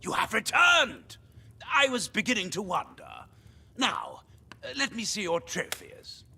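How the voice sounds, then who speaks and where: An actor playing a boastful, jovial gentleman hunter in a video game, with a pompous, theatrical, blustering manner. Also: high voice, sing-song, a little slow, a little hiss or hum, full character voice. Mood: excited, pompous. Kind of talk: video game character acting.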